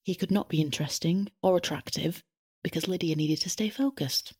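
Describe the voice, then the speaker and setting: A woman with a husky voice, narrating a scripted satirical story in a deadpan, matter-of-fact way. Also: lowish voice, brisk. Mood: wry, deadpan. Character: wry female storyteller narrating a satirical tale.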